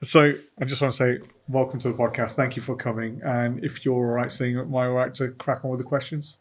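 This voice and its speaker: A man's voice: mid-range voice, level tone, fairly quick, slight background noise. A man hosting a podcast, asking questions in a jokey, informal way with plenty of ums. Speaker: podcast host. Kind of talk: casual interview chat.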